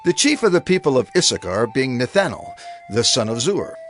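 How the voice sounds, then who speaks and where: A man's voice: mid-range voice, very animated, fairly quick, fairly bright tone. A man reading scripture from a script in a formal, proclaiming manner. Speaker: narrator. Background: faint music.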